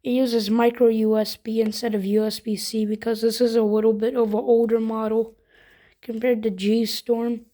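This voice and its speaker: A woman's voice: a little high, little pitch movement, normal speed, dark tone. A woman reviewing products in a casual demonstration, explaining things informally step by step.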